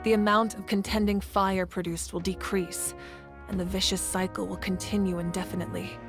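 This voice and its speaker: Woman acting authoritative game leader, female, medium pitch, deliberate, serious, composed, music behind. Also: little pitch movement, close to an everyday voice. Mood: grave, explanatory. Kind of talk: video game character acting.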